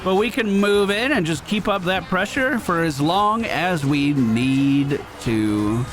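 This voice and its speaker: Lively, higher-pitched man doing game commentary. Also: takes it slowly. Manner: upbeat running narration of own play. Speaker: gaming video host. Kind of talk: gameplay commentary. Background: video game music.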